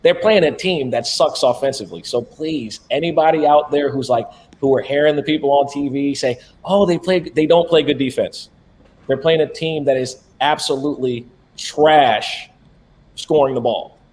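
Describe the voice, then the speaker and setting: A man's voice: on the high side, normal speed, slight background noise. A man co-hosting a sports betting podcast, talking bluntly and with profanity in casual chat. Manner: blunt, profane, animated about basketball. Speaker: podcast co-host.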